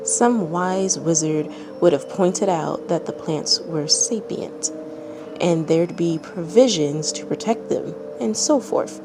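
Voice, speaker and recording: Low, gravelly woman reading a story aloud. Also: takes it slowly, noisy background. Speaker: fan fiction reader and channel host.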